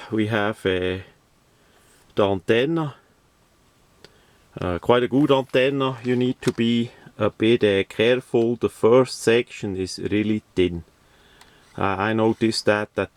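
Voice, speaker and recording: A man giving an unscripted product review and demonstration in a hesitant, halting way, often repeating words. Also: deep voice, a little mellow. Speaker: radio reviewer.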